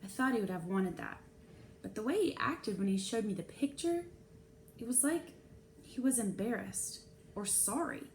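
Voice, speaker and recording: A woman's voice: fairly high pitch, expressive, moderate pace. A woman narrating a children's novel aloud in a storytelling read-aloud style. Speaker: storybook reader. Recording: clear background noise.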